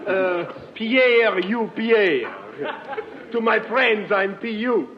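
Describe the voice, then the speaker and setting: A man's voice: sits high, animated, extremely slow, heavily acted. A man playing a genteel, fussy fashion designer with hesitant, mannered courtesy in 1940s radio comedy. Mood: genteel, eager. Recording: dull, faintly noisy old radio recording.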